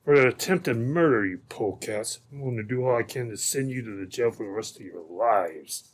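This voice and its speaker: A man's voice: mid-range voice, a little fast, lightly acted. A man playing a bitter, wronged frontier man out for justice in an old-time radio adventure. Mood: bitter, vengeful.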